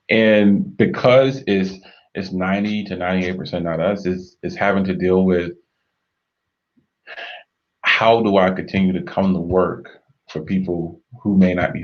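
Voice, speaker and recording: A man with a very deep voice co-hosts a marriage discussion, thoughtful and measured. He speaks earnestly and drops in steady murmurs of agreement. The sound is muffled and lo-fi. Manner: measured, reflective, frequent affirming murmurs. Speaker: co-host of a marriage talk show.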